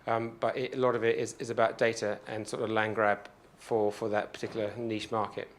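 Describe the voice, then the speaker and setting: A man on stage answering audience questions about his startup, halting with many restarts. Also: lowish voice, little pitch movement, slight background noise. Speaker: startup founder pitching. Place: stage at a demo-day event.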